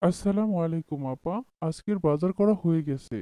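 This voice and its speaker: Woman as a low-voiced, humble servant man. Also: brisk, mellow, no room sound, lightly acted. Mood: humble, pleading. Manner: hesitant, respectful. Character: humble, deferential male household servant, a father. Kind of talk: Islamic moral cartoon voice acting.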